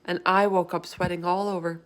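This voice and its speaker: A woman's voice: lowish voice, level tone, a little fast, fairly bright tone, natural voice. A woman voicing a curious young girl who asks questions in a children's book read-aloud. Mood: concerned.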